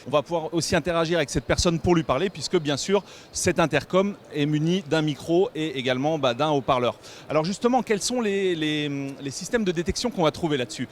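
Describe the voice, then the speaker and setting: A French man with a slightly high voice presents a tech product in a semi-improvised, explanatory style. He hesitates and rephrases as he goes. The recording carries light background noise from a trade show floor. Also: fairly quick, few pauses. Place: busy trade show hall.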